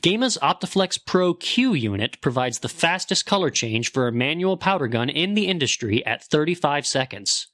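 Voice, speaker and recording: A man's voice: a little high, sing-song, normal speed, hardly any pauses, fairly bright tone, dry recording, clean studio sound. A man reading a scripted advertisement as a sponsor announcer. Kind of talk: scripted ad read.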